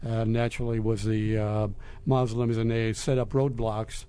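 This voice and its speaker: A man in his fifties from Lebanon, answering interview questions in a halting, measured way. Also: lowish voice, flat delivery, mellow, clean tone. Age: 50s. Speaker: interview guest, business executive.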